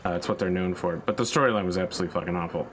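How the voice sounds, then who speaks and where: A man chatting casually on a gaming stream, eager to explain and quick to swear. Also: deep voice, fairly bright tone, a little hiss or hum. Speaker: streamer and co-host. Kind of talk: casual chat while gaming.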